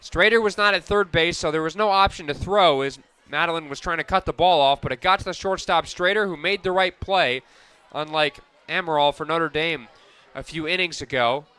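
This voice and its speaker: A man calling softball play-by-play for radio, narrating each pitch and play in crisp descriptive detail. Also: normal speed, hardly any pauses, dry recording. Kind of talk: live softball radio commentary.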